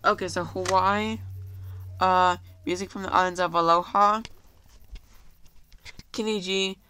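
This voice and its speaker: A man's voice: high-pitched, flat delivery, unhurried, pushed and bright, a little hiss or hum. A man with a cold, rambling casually through a video monologue, full of fillers and apologies and constantly addressing viewers as "guys", recorded on a handheld camera at home. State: has a cold. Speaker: YouTuber.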